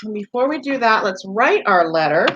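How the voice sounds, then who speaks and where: A woman's voice: medium pitch, big pitch swings, normal speed, a bit bright, muffled recording, a little hiss or hum. A woman teaching young children, explaining each step simply and patiently with plenty of repetition. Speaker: preschool teacher. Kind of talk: children's read-aloud lesson, talking to young children.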